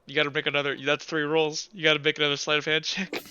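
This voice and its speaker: Male game master, high bright voice, halting improvised narration with repeated phrases. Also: completely flat delivery, muffled recording, slight background noise.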